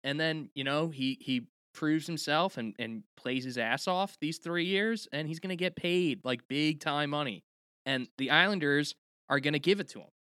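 New guy on a sports podcast, chatty and emphatic, bright clear tone, dry close sound. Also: on the high side, moderate pace, no room sound. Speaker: podcast co-host. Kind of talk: casual hockey podcast chat.

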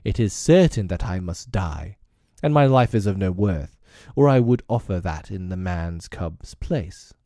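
A man doing a proud, worn-out old wolf who leads a pack, low and dark in tone, speaking slowly with grave formality. Heard dry and close, like a storybook read at the mic. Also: animated, no room sound. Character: old grey male wolf pack leader, proud and weary. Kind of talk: storybook reading.

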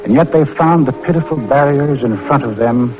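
Old time radio announcer guy, dramatic and formal, medium pitch, music playing behind. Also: normal speed, poor audio. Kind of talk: 1940s scripted radio narration.

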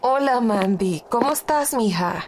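A woman's voice: fairly high pitch, lively intonation, normal speed, background noise. An actress playing an older widowed woman who is motherly and teasing. She mixes Spanish endearments into her talk. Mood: affectionate. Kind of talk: audio drama acting.

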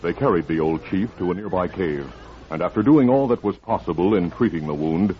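A man's voice: deep voice, expressive, brisk, a little hiss or hum. A man giving dramatic scripted narration for a 1950s radio western. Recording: dull old radio recording. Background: music.

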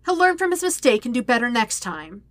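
A scornful young woman in an audiobook tale, as a woman reader acts it. The delivery is sarcastic and curt, in a bright, forceful voice with a very dry sound. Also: on the high side, big pitch swings, a little fast, dry recording, voice pushed up. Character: stubborn, scornful young woman, sardonic and grudging.

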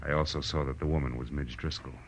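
An American actor playing a cocky, hard-boiled private detective in 1940s radio noir, with a wry, clipped and sardonic delivery. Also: male voice, extremely low pitch, fairly even intonation, fast, heavy background noise. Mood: brooding, wry narration. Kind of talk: 1940s radio noir acting, first-person narration and dialogue. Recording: dull vintage radio recording with music.

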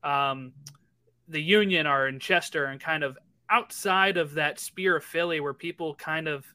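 A man's voice: fairly high pitch, measured pace, pushed and bright. A male podcast co-host asking a guest questions in a casual, conversational way. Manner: conversational, checks in with "right?".

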